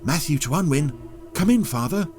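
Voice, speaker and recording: A man puts on a dutiful young agent for an audio drama. He reports briskly and eagerly in a lifted pitch over music. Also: lively intonation, natural voice. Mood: alert.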